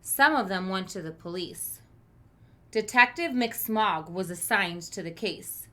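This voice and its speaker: A woman teacher reading a storybook aloud to children, expressive, with chatty asides to her listeners. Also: mid-range voice, slow pace. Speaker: elementary school teacher.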